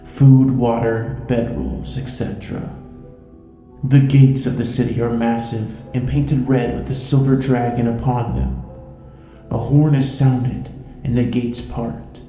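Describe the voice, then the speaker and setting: A man with an extremely dark, mellow tone and average pitch narrates fantasy scenes as game master. The recording is echoey, with faint music.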